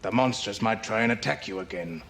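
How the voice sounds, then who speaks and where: An actor playing a guarded older caretaker and former sailor in a game cutscene, speaking in a measured way. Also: male voice, on the low side, a bit bright. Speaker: game character.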